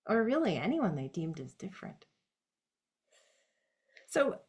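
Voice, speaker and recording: Woman, solo monologue performer, low-pitched, reflective and direct. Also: darkish tone. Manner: reflective, addressing her late grandfather directly.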